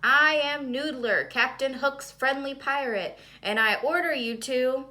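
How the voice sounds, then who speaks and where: Anxious young schoolboy hero, played by a woman, earnest and worried, bright voice, storybook read-aloud. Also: high voice, measured pace, voice pushed up. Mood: hesitant.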